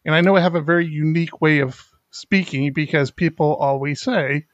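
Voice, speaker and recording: A middle-aged man hosting a podcast in a candid, halting way, with a slight speech impediment and many restarts. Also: fairly high pitch, steady tone, no room sound, dull audio. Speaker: podcast host. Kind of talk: casual chat.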